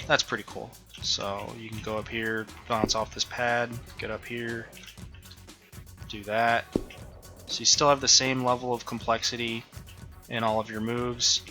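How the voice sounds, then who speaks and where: Bright-voiced man, rambling game devlog. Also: medium pitch. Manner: relaxed, thinking aloud with many ums and uhs. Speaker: indie game developer. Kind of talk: casual devlog walkthrough. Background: faint background music.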